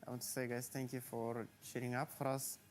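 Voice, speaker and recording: A man with an average-pitched voice talking as a pro player in an interview. He sounds hesitant and speaks in plain words. Faint music plays underneath. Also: flat delivery, fairly quick. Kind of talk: post-match player interview.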